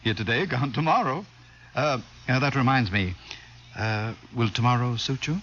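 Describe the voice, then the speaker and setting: An actor playing a courteous, resigned older overlord in a 1950s radio drama, making urbane, hesitant small talk. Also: male voice, mid-range voice, moderate pace, fairly bright tone, lightly acted. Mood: genial, resigned. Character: genial, courteous doomed overlord, resigned older male ruler. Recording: dull, noisy vintage radio recording.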